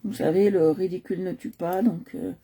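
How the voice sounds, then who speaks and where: A woman's voice: fairly low pitch, steady tone, moderate pace, warm tone, husky. A woman from Nice hosting a live coloring stream, chatting casually with many hesitations and fillers, talking into a computer at home. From Nice, France.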